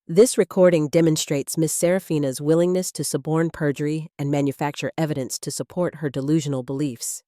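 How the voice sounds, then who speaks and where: A woman's voice: sits low, fairly even intonation, fairly quick, hardly any pauses, mellow, no echo, studio quality, natural voice. A woman acting out an assertive, accusing courtroom attorney in a narrated story.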